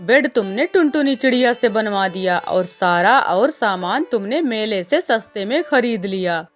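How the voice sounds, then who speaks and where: A woman's voice: medium pitch, lively intonation, unhurried, faint music behind, lightly acted. A woman voicing a bossy, threatening male vulture in a children's cartoon story, stern and commanding. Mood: angry, threatening.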